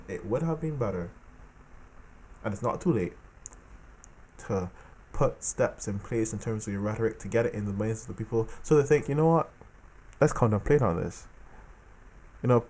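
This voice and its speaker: A man from the Caribbean talking casually about politics in an animated monologue, repeating phrases for emphasis. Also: medium pitch. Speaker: political commentator.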